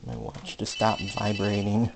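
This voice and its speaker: A man giving a casual hands-on electronics demonstration, speaking haltingly in short piecemeal phrases. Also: fairly low pitch, very animated, creaky. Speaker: hobbyist presenter. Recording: faint background noise with clicks.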